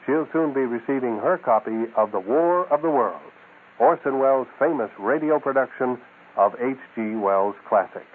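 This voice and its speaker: A man's voice: medium pitch, animated, normal speed, phone-quality sound, slight background noise. A man hosting a radio show, reading scripted promotional announcements on a thin radio recording.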